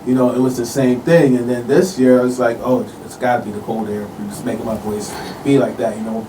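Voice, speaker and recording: A man's voice: medium pitch, fairly even intonation, fairly quick. An American man chatting casually as an interview guest, laid-back and peppering his talk with "you know". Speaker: guest, local performer. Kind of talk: casual chat. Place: radio studio. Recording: distant mic with faint room noise.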